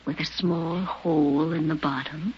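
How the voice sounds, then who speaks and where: A deceitful young woman in a radio play, her voice on the low side and often hushed. She takes her lines very slowly and tenderly, with theatrical care. The recording is dull, with a faint hiss. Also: fairly even intonation. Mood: coolly mocking. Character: scheming young woman, a coolly deceitful would-be actress. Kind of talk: radio drama acting. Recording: muffled, hissy old radio drama recording.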